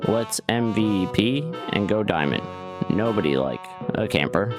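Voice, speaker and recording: Man putting on a very low camel streamer voice. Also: animated, a little slow, music in the background. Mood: streamer patter. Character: camel VTuber streamer narrating its own story. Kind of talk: story reading in a streamer voice.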